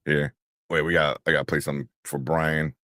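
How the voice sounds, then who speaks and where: A man with a very deep voice co-hosting a casual livestream show, laid-back and chuckling, rambling with fillers. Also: flat delivery, fairly quick, fairly bright tone, no room sound, clean studio sound. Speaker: co-host.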